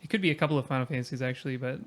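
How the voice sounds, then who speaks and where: A man's voice: medium pitch, steady tone, racing. A man chatting casually as a podcast panel guest, hesitant and qualifying his points as he goes.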